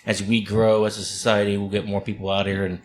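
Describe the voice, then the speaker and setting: A man hosts a podcast. He sounds upbeat and chatty, full of fillers and quick restarts. Faint room noise runs behind him. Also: on the low side, little pitch movement. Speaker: podcast host. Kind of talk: casual podcast hosting.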